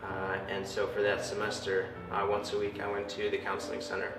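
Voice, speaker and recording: A young man, a university student, giving a personal testimony in hesitant, measured phrases. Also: fairly low pitch, completely flat delivery. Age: young adult. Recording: echoey room, distant mic, music.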